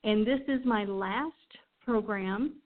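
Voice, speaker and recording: A woman's voice: medium pitch, slow. A woman hosting a radio show, speaking casually and off the cuff in an informal, rambling way. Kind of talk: casual unscripted show sign-off. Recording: thin, phone-like line.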